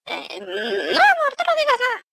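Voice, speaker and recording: A man using a voice-changing program to sound like a giggly, squeaky young woman. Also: wildly swooping pitch, normal speed, vocal fry, pushed and bright, no room sound, clean studio sound, full character voice. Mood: teasing. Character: giggly high-pitched girlish voice "Cortana". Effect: voice changer pitch shift.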